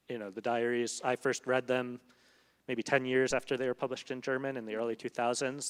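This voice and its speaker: A man, a literary translator, talking onstage in a thoughtful, hesitant way with many fillers. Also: medium pitch. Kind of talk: onstage conversation. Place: event hall. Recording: long reverb of a hall.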